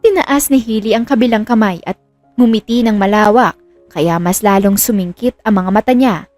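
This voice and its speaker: Woman, mellow relaxed tone, mid-pitched, scripted romantic storytelling, close dry recording, music behind. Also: moderate pace, no echo. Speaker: narrator. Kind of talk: scripted narration of romantic drama.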